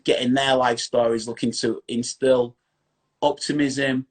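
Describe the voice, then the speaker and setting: A man's voice: medium pitch, level tone, measured pace. A man in his thirties with a strong Yorkshire accent, hosting a casual live-stream interview on his phone in a small room, upbeat and effusive. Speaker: host. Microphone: phone front camera.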